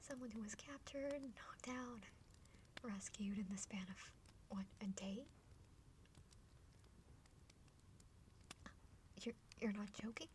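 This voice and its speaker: Woman acting a high, whispering young half-dragon sister. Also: natural voice. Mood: amused, curious. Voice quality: breathy whispering. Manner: chatty, playful, hesitant stammers. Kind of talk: whispered ASMR roleplay. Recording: faint background noise.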